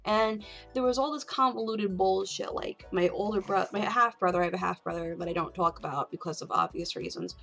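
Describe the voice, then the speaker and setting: A woman's voice: medium pitch, fairly quick, fairly bright tone, faint music behind. A woman hosting a casual livestream, talking in a candid, rambling way with frequent swearing. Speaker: livestream host.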